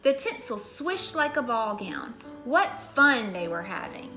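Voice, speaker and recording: A woman's voice: a little high, very animated, measured pace, bright, forceful tone, reverberant, soft background music. A woman reading a children's storybook aloud in a scripted narration style. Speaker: story reader.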